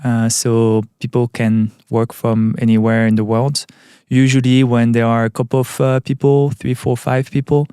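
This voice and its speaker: A man's voice: on the low side, mellow, dry recording, clean studio sound. A middle-aged man from France with a French accent, answering interview questions thoughtfully, with many fillers and restarts. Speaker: tech CEO guest. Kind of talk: podcast interview.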